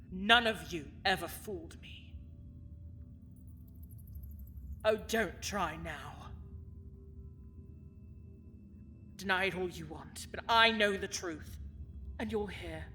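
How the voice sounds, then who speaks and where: A woman playing a cold, bitter villainess who rules a dungeon, speaking with haughty, theatrical menace in a fantasy audio drama. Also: lowish voice. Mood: bitter, angry. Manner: haughty, menacing, theatrical, swinging from hushed to forceful.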